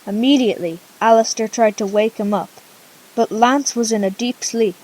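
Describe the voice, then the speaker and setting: A woman's voice: on the high side, normal speed, darkish tone, poor audio. A female storyteller narrating an amateur radio drama informally, with casual conversational asides.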